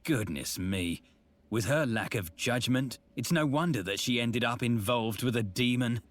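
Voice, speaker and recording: A man's voice: mid-range voice, unhurried, lightly acted. A man voicing a dry, sardonic sidekick.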